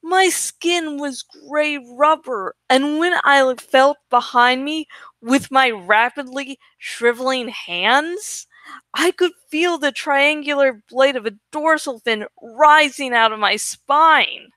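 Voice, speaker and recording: A woman's voice: high-pitched, slow, sharp tone, dry recording. A woman reading a first-person story aloud expressively, drawing out words for dramatic emphasis. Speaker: amateur audiobook reader.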